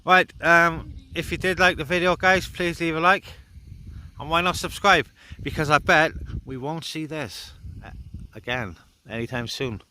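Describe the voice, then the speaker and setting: A man's voice: a little high, unhurried, pushed and bright, poor audio. A man chatting casually and informally as a vlogger and CB radio operator. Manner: matey, informal. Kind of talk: casual radio chat and vlog talk. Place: outdoors on a mountain top.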